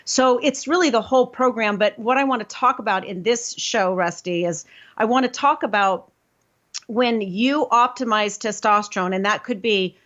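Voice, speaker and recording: A woman hosting a health talk show, explaining in an emphatic, lively way. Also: a little high, moderate pace. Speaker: host. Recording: slightly dull lo-fi sound.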